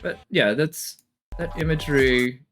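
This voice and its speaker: A man's voice: a little high, measured pace, fairly bright tone, soft background music. A man giving casual, off-the-cuff commentary as a streamer, analytical and hesitant as he thinks aloud. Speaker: reaction streamer.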